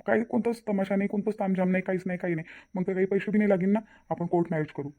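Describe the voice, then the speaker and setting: A man's voice: high voice, fairly even intonation, rapid-fire, narrow, tinny audio. A man with a Varhadi Marathi accent voicing a devoted, insistent young fiance in a cartoon family drama. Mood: persuasive. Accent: Varhadi Marathi from Vidarbha. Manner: colloquial, emphatic repetition.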